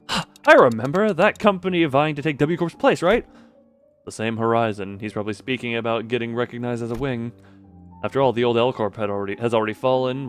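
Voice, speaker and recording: A man streaming a game, giving casual, rambling live commentary with animated reactions. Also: medium pitch.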